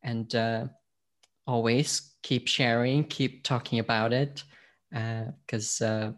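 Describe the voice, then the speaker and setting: A man from Portugal, speaking at an ordinary middle pitch. He talks in a casual podcast style that is hesitant and rambling, full of "um"s and restarts. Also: steady tone, takes it slowly. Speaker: podcast host, now interviewee.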